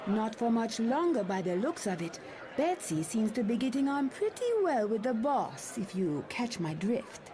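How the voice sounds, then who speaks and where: A woman's voice: on the high side, sing-song, moderate pace, crowd noise. A woman playing a wry, gossipy young superheroine in a motion comic, casual, dry and teasing.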